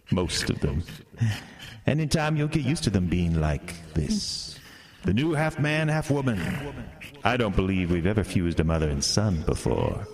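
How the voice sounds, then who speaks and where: Man acting a deep-voiced, sinister carnival master. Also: a little mellow. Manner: falsely soothing, theatrical, condescending. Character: sinister, smooth-talking carnival master luring a boy, coolly menacing. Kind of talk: horror audio drama acting.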